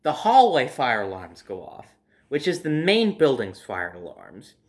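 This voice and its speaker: Casual male storyteller, conversational and animated. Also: mid-range voice, unhurried. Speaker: storyteller hosting own video series.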